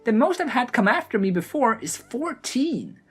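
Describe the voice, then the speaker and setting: A woman voicing an excitable, chattering male gnome showman in an audio drama, giddy and theatrical. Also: a little high, moderate pace, husky, faint music behind, voice pushed up. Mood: boastful delight. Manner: exclamatory, rambling, giddy.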